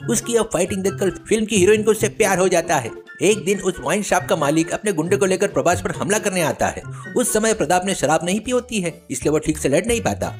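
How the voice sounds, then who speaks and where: Man putting on a pushy, high-pitched village braggart. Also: expressive, fast talker, hardly any pauses, faint music behind. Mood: insistent, excited. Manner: bragging, insistent, wheedling. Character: boastful, idle village chatterbox man, pushy tall-tale teller.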